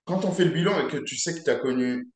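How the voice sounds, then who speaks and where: A man's voice: fairly high pitch, fast talker, darkish tone. A man in his thirties hosting an interview over a video call, asking probing, conversational questions. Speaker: interview host. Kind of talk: casual interview. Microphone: video call webcam. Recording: roomy webcam audio.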